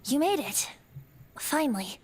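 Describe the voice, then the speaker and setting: High-voiced, playful boyish bard. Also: female voice, big pitch swings, measured pace, a little mellow. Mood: sheepish, light. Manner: theatrical, whimsical. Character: youthful male bard, ancient wind spirit, playful, airy. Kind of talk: game character dialogue.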